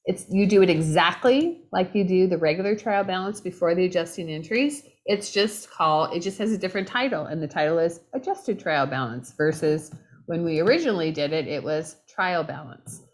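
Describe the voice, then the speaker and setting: A woman instructor gives a recorded lecture while losing her voice. Her voice sits a little low, and she keeps a loose, conversational pace, checking in with the listener as she goes. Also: fairly quick. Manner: conversational, checks in with "right?" and "okay?". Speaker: accounting instructor.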